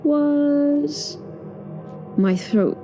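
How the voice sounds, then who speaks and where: A woman playing a wry, awed witness, narrating a horror audio drama in the first person with suspenseful pauses. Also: high voice, dark tone. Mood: awed, tense. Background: music.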